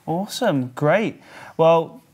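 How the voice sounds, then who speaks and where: A man's voice: fairly high pitch, expressive, slow, darkish tone, slightly rough. A man hosting a local TV show, interviewing a guest with an upbeat, enthusiastic manner. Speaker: TV show host. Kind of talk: casual studio chat.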